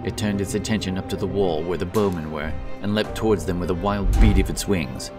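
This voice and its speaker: A man's voice: sits low, fairly quick, distant mic. A man giving a dramatic scripted narration of a fantasy story. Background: loud epic background music.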